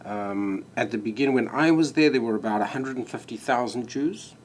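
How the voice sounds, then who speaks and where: A man's voice: mid-range voice, a little fast, a little hiss or hum. Courteous male diplomat from South Africa. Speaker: diplomat, guest. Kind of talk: interview answers.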